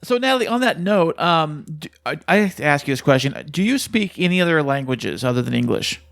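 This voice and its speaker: A man's voice: fairly high pitch, very animated, fairly quick, creaky, fairly bright tone. A man co-hosts a casual podcast, asking curious questions and cracking jokes with a few hesitant fillers along the way. His voice is dry and close-miked, with no echo around it. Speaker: podcast co-host.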